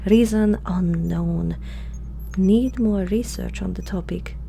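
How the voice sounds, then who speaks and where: A woman playing a curious, awkward alien scientist in human form, speaking hesitantly and softly to the listener in an ASMR roleplay. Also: medium pitch, creaky. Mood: clinical, curious. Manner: hesitant, halting, softly spoken, sometimes whispering. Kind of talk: ASMR roleplay, one-sided dialogue to the listener. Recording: faint background hum and noise.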